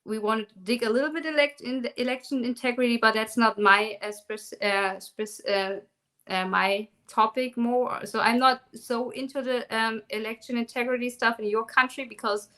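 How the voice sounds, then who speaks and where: German-accented woman, halting speech. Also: on the high side, fairly even intonation, sharp tone. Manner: halting, many ums and restarts. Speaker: guest, documentary maker. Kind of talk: live online conversation.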